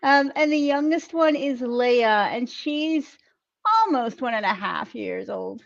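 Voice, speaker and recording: Woman guest chatting in an interview from home, voice a bit high, slightly muffled call audio. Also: slow pace, gravelly, no room sound. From Netherlands and South America, mostly Colombia. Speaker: interview guest, corporate global mobility manager. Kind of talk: conversational interview answers.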